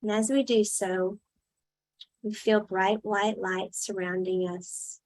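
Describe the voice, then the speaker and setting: A woman leading a guided meditation in a soothing style, speaking in short, unhurried phrases with long pauses. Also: medium pitch, flat delivery, darkish tone, poor audio.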